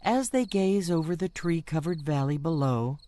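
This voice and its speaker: A narrator introducing a horror radio drama in a slow, ominous manner. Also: mid-range voice, lively intonation, warm tone, slight background noise. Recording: music score behind.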